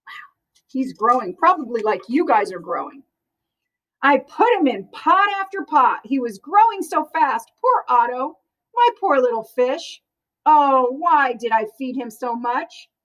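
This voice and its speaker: Lady with a high voice doing an expressive read-aloud for little kids. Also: measured pace, highs cut off. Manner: expressive, with exclamations and asides to young listeners. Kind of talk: animated children's picture book read-aloud.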